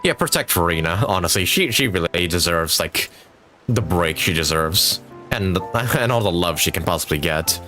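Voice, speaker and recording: A man giving casual, expressive live reactions as a game streamer, often swearing. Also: low-pitched, normal speed. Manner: profane, giggly, expressive. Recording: game music behind.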